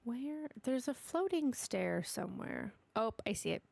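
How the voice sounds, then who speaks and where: A woman's voice: fairly high pitch, big pitch swings, unhurried, gravelly, a little mellow, dry recording. A young woman streaming a game, stuffy and sniffly from allergies, chatting to herself and her dog as she builds. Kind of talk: casual gameplay commentary.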